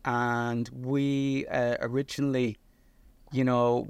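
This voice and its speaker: A man's voice: mid-range voice, level tone, takes it slowly, clean tone, no room sound, muffled recording. A middle-aged man from the north of England with a Liverpool accent, a podcast guest telling his story casually with fillers and restarts. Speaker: guest, creative director of a video company.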